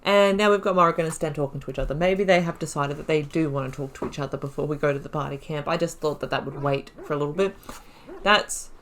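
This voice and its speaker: Chatty, lowish-voiced woman gamer. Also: fast talker, a bit bright. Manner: chatty, playful asides. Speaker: gaming video host. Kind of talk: casual playthrough commentary.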